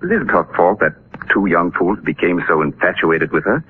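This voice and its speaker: A man's voice: sits low, sing-song, moderate pace, slightly rough, exaggerated voice. An actor playing an anxious, polite older father in a 1940s radio drama, speaking earnestly and formally with some hesitation. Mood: sorrowful. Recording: muffled, noisy 1940s radio recording.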